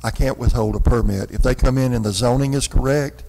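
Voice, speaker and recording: A man's voice: mid-range voice, fairly even intonation, fairly quick, mellow. A man answering questions as a city official in a formal council meeting, in a chamber. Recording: reverberant meeting hall.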